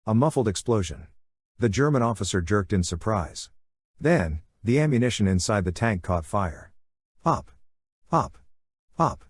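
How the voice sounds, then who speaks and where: Male audiobook narrator, lowish and husky, delivering scripted first-person action drama in a dry, close sound. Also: expressive, a little slow, long pauses, no room sound.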